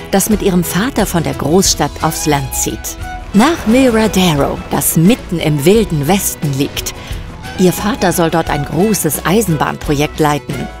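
Low-pitched female storyteller. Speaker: narrator. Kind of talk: scripted storytelling for a children's audio drama.

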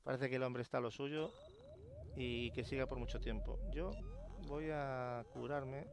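A man's voice: mid-range voice, fairly even intonation, a little slow, a crowd in the background. A man with an accent from Spain doing casual gameplay commentary. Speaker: gaming YouTuber. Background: game ambience.

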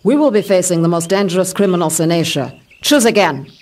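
A woman's voice: fairly low pitch, lively intonation, a little fast, a little mellow, close to an everyday voice. A woman playing a stern, commanding martial arts master in a comic-book audio drama, speaking formally and tersely. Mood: stern teaching. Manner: formal, terse, few contractions.

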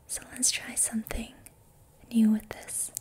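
A young adult woman whispering softly and breathily close to the mic, guiding a relaxation in a gentle, soothing ASMR style. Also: a little high, flat delivery. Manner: slow, gentle, soothing instruction. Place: small dim indoor room. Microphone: close studio mic with foam windscreen.

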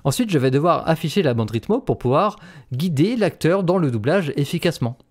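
A man's voice: on the high side, animated, a little fast, a little mellow. A man presenting an instructional screencast as a voice-over. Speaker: tutorial presenter.

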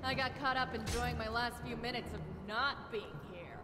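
A woman's voice: a little high, steady tone, normal speed, lightly acted. An actress voicing a rebellious, sassy warrior woman in a video game, taunting and theatrical. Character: brash, rebellious bad-girl warrior woman with sassy swagger. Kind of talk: video game character voice lines. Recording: long hall reverb over game music.

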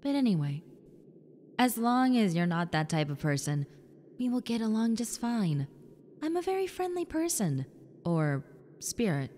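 A woman voicing a friendly, needy young female ghost in an intimate roleplay, softly coaxing the listener with hesitant pauses. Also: a little high, big pitch swings. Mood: wistful, gentle. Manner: soft, coaxing, hesitant pauses, sometimes near whisper. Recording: long reverb, clear background noise and ambience.

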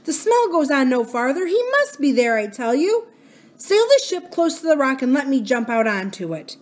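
A woman voicing an eager, loyal male dog in a storybook, talking in an insistent, excited way. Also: mid-range voice, normal speed, close to an everyday voice.

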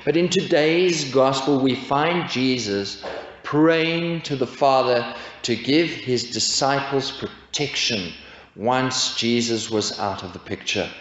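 A man in his forties preaching a sermon from a pulpit, asking his congregation rhetorical questions in a measured way. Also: mid-range voice. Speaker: pastor. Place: church, indoors at a pulpit. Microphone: pulpit stand mic. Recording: echoey church, dull lo-fi sound.

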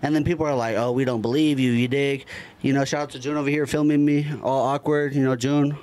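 A man's voice: mid-range voice, level tone, fairly quick, a little mellow. A young American man chatting casually on a podcast in a slangy, emphatic, rambling way. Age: young adult. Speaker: podcast panelist. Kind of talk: casual chat. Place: small furnished room indoors. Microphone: handheld microphone.

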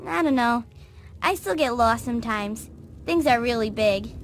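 Wide-eyed small girl for a video game, woman performing; high, childlike, curious, music underneath. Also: lively intonation, moderate pace. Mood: curious, innocent. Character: innocent, curious, timid little girl.

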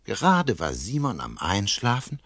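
An actor playing a chatty, confiding storyteller, narrating a children's radio play in a husky voice. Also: male voice, fairly low pitch, wildly swooping pitch, measured pace, fairly bright tone, no echo, clean studio sound, heavily acted. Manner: conversational, confiding. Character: chatty, cosy male storyteller addressing young listeners.

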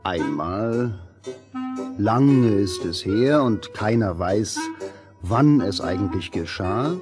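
A man narrating a fairy tale as a storybook narrator, deliberate and expressive. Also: medium pitch. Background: music.

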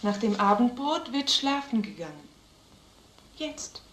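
A woman's voice: on the high side, big pitch swings, measured pace, fairly bright tone. An actress playing an anxious, strict mother and housekeeper, earnest and plain-spoken. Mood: stern. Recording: echoey film sound, faint background noise.

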